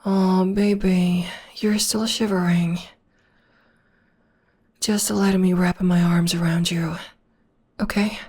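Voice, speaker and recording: A young woman voicing a gentle, devoted witch girlfriend in an ASMR comfort roleplay, speaking softly and often in a whisper. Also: lowish voice, little pitch movement, takes it slowly, long pauses. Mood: tender, soothing. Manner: soft, soothing, often whispering. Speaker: voice actor.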